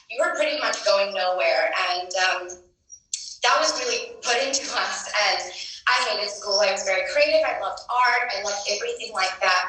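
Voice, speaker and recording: A woman in her twenties, her accent a mix of British and Australian, shares a personal story in a motivational talk. Her voice is bright and forceful, her delivery frank and self-deprecating. The recording is distant, with strong echo from a big room. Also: medium pitch. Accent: mixed British and Australian. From grew up in the UK and Sydney, Australia. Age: 20s. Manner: candid, self-deprecating, conversational. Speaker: speaker at a business retreat. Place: large room before an audience of two hundred. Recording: echoey room, picked up from far off.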